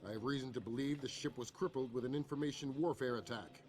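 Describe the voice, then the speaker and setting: An actor playing a calm, authoritative intelligence chief who speaks in a measured, firm briefing tone. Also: male voice, medium pitch, natural voice. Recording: game cutscene with music underneath.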